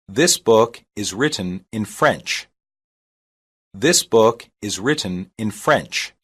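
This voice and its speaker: Slow, measured English lesson reader. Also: mid-range voice, animated, a bit bright, slightly rough. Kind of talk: slow repeated phrase reading.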